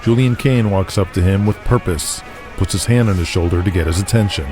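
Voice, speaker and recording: A man's voice: low-pitched, fairly quick. A man narrating an audio drama in a dramatic, scripted storytelling style. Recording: loud music behind.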